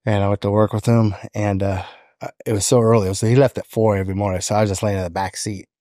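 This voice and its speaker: A man's voice: lowish voice, flat delivery, fast talker, warm tone, no room sound, clean studio sound. A man in his fifties with an American accent, telling personal stories casually as a podcast guest. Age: 50s. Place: indoor podcast studio. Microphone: close studio microphone on a stand.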